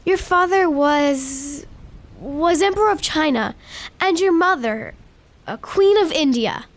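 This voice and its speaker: A woman playing a gentle, pleading young girl given to make-believe in an audio drama. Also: high voice, animated, slow pace. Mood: earnest, dreamy.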